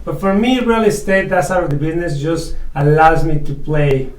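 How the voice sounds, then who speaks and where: A man's voice: fairly high pitch, lively intonation, normal speed. A middle-aged man from Mexico with a Mexican accent, a guest chatting frankly and eagerly about his real estate work, chuckling often. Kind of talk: casual interview chat. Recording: roomy, distant mic.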